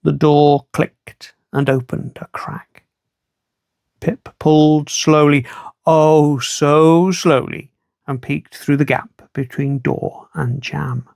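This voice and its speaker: Narrator reading a kids story, creaky voice, really dry close sound, measured and wry. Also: mid-range voice, steady tone, a little mellow, dry recording. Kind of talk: read-aloud children's storytelling.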